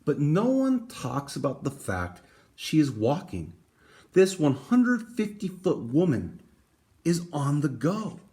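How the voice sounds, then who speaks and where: A man reading a picture book aloud, with dramatic emphasis and deliberate pauses. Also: a little high, huge pitch swings, dark tone. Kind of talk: expressive storybook read-aloud.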